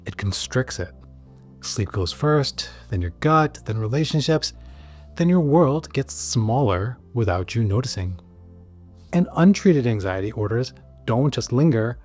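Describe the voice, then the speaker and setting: Man explaining clearly, with music behind. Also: mid-range voice, a little mellow. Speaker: psychiatrist host of an explainer channel. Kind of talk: scripted educational narration to camera.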